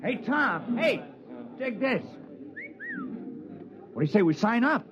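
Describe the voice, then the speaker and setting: Guy putting on a keen young miner, high swoopy voice, muffled old radio play. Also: big pitch swings, slow, background noise, heavily acted. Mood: eager. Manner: eager, coaxing. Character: eager young male uranium miner. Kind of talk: 1950s radio drama acting. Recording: dull vintage radio recording, off mic.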